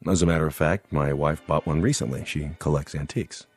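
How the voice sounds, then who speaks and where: A deep-voiced, tactful doctor. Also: male voice, a little fast, a little mellow, slightly rough, faint music behind. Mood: probing. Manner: polite, probing, tactful. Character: measured, reasonable male doctor counselling an elderly patient. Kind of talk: radio drama acting.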